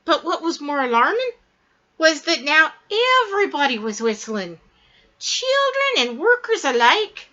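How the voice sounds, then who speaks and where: Female storybook reader, bright and very lively, dramatic emphasis, slightly dull recording. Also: sits high, measured pace. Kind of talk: expressive read-aloud of a children's story.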